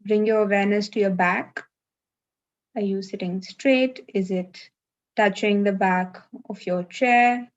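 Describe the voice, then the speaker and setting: A woman leading a live guided meditation, giving gentle, unhurried instructions with long pauses, over a video call. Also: medium pitch, fairly even intonation, lo-fi sound.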